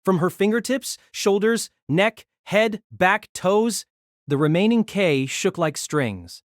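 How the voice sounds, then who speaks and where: Guy reading a novel out loud, animated, voice on the higher side, dry close mic. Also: takes it slowly, dry recording. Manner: expressive storytelling with shifting tone. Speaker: narrator.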